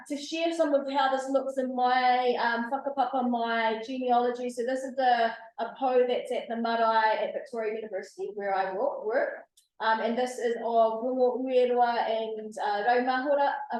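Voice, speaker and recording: A woman giving an academic guest lecture, measured and explanatory with frequent ums. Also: fairly high pitch, a little mellow. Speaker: guest speaker, researcher. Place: lecture room. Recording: very echoey room, far from the mic.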